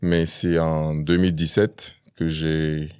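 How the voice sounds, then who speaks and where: Very deep-voiced man from Cameroon. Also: flat delivery, slow pace, darkish tone, smooth, dry recording, clean studio sound. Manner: hesitant, many fillers. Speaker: podcast guest. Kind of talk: casual interview.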